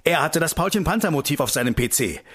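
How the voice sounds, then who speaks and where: A man's voice: on the high side, animated, fast talker, fairly bright tone, husky. An actor playing a combative male investigator in a radio play, indignant and bitingly sarcastic. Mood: sarcastic, accusing.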